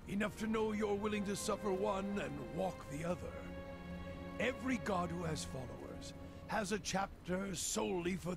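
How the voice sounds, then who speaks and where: An actor playing a zealous, bitter wandering fire priest in a video game, preaching in a cryptic, ominous, gravelly manner. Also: male voice, high voice. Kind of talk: video game voice acting, grandiose monologue.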